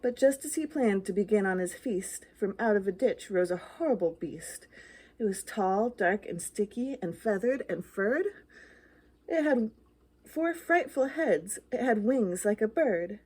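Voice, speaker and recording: A woman's voice: medium pitch, lively intonation, measured pace, a little mellow, slightly rough. A woman reading a children's story aloud expressively, with dramatic, rhythmic emphasis on the rhymes. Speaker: library storytime reader.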